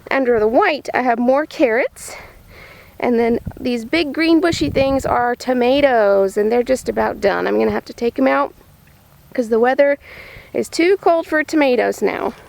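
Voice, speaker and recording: High-pitched woman with creaky voice, kid-friendly tour. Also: normal speed, a little mellow, slight background noise. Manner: upbeat, explaining in simple words to small children. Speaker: vegetable farmer giving a farm tour to preschoolers. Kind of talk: simple child-directed show-and-tell narration. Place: vegetable farm, in growing tunnels and outdoor beds.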